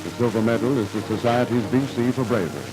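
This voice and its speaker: A man's voice: mid-range voice, quick pace, music in the background, poor audio. A man narrating a scripted 1950s radio drama in a formal, dramatic storytelling manner, on an old radio recording.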